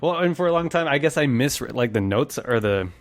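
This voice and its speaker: A man's voice: mid-range voice, animated, a little fast, no room sound. Chatty man hosting, close dry sound. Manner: chatty, laughs easily while explaining. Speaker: podcast host. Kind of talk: casual gaming podcast commentary.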